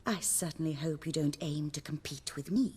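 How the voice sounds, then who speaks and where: An actress playing a genteel, gracious woman of the theatre in a video game, expressive and courtly, with a husky voice and long reverb. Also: low voice, moderate pace. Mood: gracious, playful.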